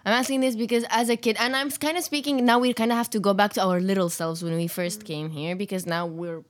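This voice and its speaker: A woman's voice: mid-range voice, fairly even intonation. Young woman, upbeat, agreeable, asks questions, casual group talk. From Romania and Jordan. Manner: upbeat, agreeing, quick to laugh. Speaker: interviewer.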